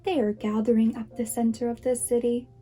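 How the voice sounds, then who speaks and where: A woman's voice: a little high, level tone, brisk, mellow, faint music behind, natural voice. A woman voicing a commanding, inviting male train conductor in a storybook, in a declamatory manner. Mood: announcing. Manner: calling out, declamatory. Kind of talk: storybook read-aloud character.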